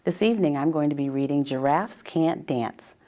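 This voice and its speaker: Female storybook narrator, fairly low pitch, very mellow tone. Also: moderate pace. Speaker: professor reading a bedtime story.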